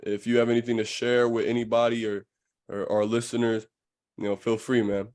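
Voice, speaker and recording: A man's voice: mid-range voice, flat delivery, brisk, darkish tone. A man chatting casually as a podcast host, in a reflective, slangy way. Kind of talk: casual chat.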